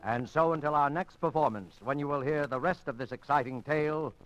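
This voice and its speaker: A male radio announcer with a slightly high voice gives a slow, dramatic sign-off. Loud orchestral music plays under him in an old broadcast recording. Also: highs cut off.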